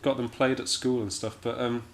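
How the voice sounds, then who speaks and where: A man's voice: medium pitch, flat delivery, moderate pace, a little hiss or hum. A man from Scotland chatting casually as an interview guest, in a hesitant, understated and self-deprecating way. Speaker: interview guest, jazz pianist and musician.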